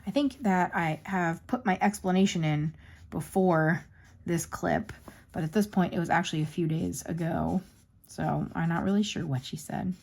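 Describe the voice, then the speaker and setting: A young woman chatting casually as she colors in a craft vlog, her voice going a bit hoarse. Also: mid-range voice, darkish tone. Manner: rambling, chatty, narrates while coloring. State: losing her voice. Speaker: craft YouTuber.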